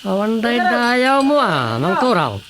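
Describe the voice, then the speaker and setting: A man's voice: extremely high pitch, wildly swooping pitch, measured pace, full character voice. A man voicing a stern, scolding village official in a radio drama. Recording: noisy radio drama recording.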